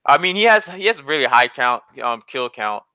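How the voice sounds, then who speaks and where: Bright, clear young man, slangy and argumentative. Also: mid-range voice, sing-song, moderate pace, no echo, highs cut off. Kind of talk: casual banter.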